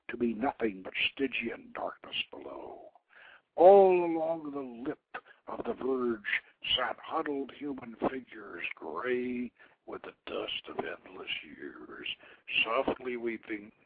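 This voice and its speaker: A man's voice: lowish voice, sing-song, slow, creaky, a little hiss or hum. Dramatic male storyteller on a phone-like line. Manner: theatrical, solemn, dropping to hushed near-whispers and swelling for emphasis. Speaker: host reading his own novelette. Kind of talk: dramatic narrated storytelling, reading aloud. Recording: phone-like internet radio sound.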